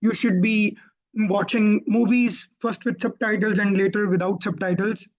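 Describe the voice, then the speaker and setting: Pakistani-accented tutor on a dull call line. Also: male voice, high voice, steady tone, moderate pace. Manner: explanatory, repeats points and self-corrects. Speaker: IELTS tutor. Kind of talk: webinar teaching and answering questions. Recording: dull lo-fi online call audio.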